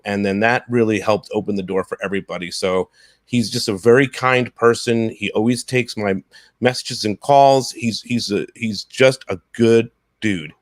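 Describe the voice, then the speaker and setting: A man's voice: mid-range voice, dry recording. A man in his thirties hosting a podcast in casual, enthusiastic chat on a close headset mic. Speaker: podcast host. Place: home room, on a video call.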